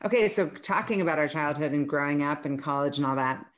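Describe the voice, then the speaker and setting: Low-voiced woman hosting a chatty podcast. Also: fast talker. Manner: friendly, laughs often, chatty questions. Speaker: podcast host. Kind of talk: casual podcast interview.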